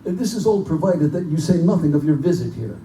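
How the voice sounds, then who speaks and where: A man's voice: on the high side, lively intonation, a little fast, warm tone, close to an everyday voice. A man playing a genteel, pompous general who hunts men for sport, in a live theatrical horror drama. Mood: gleeful, courtly. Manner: urbane, pompous, condescending. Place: live performance in a bookstore. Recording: distant, echoey live room recording with noise.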